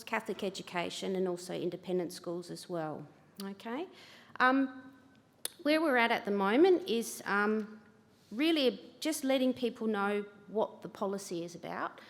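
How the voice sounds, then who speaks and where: An Australian woman, a government education manager, giving a chatty, self-deprecating conference talk at a lectern microphone. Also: mid-range voice. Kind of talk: conference presentation. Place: large convention hall. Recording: reverberant hall, livestream.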